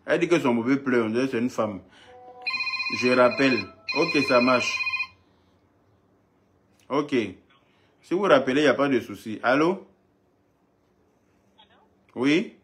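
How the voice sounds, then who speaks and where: A man's voice: mid-range voice, background noise. A man hosting a live stream who takes phone callers and readily agrees with them. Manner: agreeing, brief backchannels. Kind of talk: casual live-stream talk taking calls.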